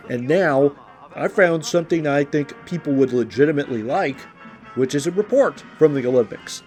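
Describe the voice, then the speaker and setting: A man's voice: on the high side, animated, moderate pace, a little mellow. American man hosting over background music. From Indiana, United States. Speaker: podcast host. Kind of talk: podcast introduction for sleep listening, radio host patter. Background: music under the voice.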